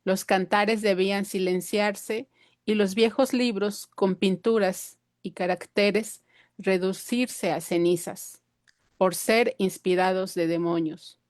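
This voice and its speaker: A woman whose first language is Purépecha, giving a conference talk and pausing often with fillers and restarts. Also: medium pitch, fairly even intonation, darkish tone, no echo, studio quality. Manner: hesitant, many fillers and restarts. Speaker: invited speaker.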